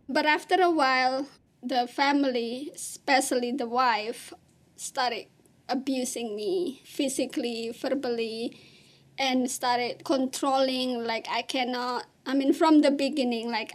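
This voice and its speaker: A woman from Indonesia with an Indonesian accent, giving personal testimony in a plain, halting way with many fillers. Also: high-pitched. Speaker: interviewee.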